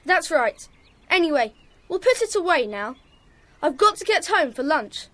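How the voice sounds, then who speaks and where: A woman's voice: sits high, big pitch swings, normal speed, a bit bright, dry recording. A woman playing a friendly, eager young schoolboy in a children's audio drama.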